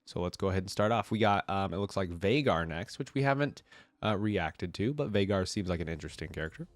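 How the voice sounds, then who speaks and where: A man's voice: low-pitched, animated, fairly quick, no room sound. A man with a congested, nasal voice from a cold, reading aloud and adding casual asides as a reaction video host. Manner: informal, thinking aloud with asides. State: has a cold.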